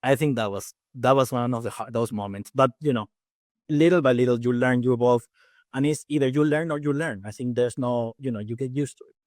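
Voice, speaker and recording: Fast-talking Peruvian man, close dry mic. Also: medium pitch, a little mellow, no room sound. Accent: Peruvian Spanish. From Peru. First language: Spanish. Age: 40s. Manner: talks fast, many restarts and fillers. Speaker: guest dentist and faculty. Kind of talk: casual interview chat.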